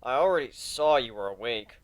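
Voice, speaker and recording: A man's voice: medium pitch, expressive, a little fast, no echo, lightly acted. A man voicing an earnest, self-conscious and easily bewildered male pegasus pony in a dramatic story reading. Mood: wary, puzzled.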